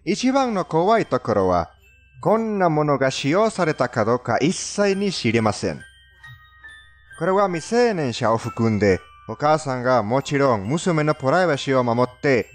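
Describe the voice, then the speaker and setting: Male narrator, non-native accent, lively and melodic intonation, formal scripted storytelling with stilted phrasing, music underneath. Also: medium pitch. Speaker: narrator of a fandubbed countdown video.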